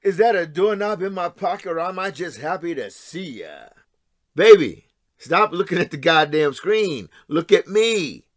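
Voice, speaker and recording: Drunk estranged husband, crude, clownish, menacing, unhurried speech, theatrical outbursts, audio drama. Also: male voice, high voice, sing-song. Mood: crude showmanship. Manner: taunting, theatrical, swinging into outbursts. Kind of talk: audio play acting.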